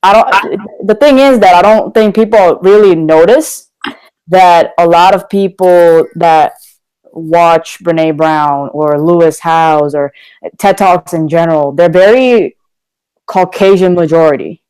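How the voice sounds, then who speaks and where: A man's voice: sits high, slow pace, a little mellow, clear, resonant tone, rough recording. A young man from Korea, a guest talking candidly and energetically in a casual podcast interview. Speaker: guest, motivational speaker.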